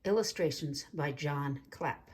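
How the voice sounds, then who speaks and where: A woman reading a children's story aloud in an expressive, deliberate way with dramatic phrasing. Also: low-pitched, husky. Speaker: storybook reader. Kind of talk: read-aloud children's story narration with asides.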